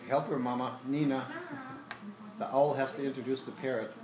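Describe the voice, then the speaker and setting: A woman's voice: very deep voice, fairly even intonation, moderate pace, a crowd in the background. A woman chatting casually and prompting performers from the audience at a family puppet show. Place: indoor family gathering. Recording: echoey room, far off, very noisy.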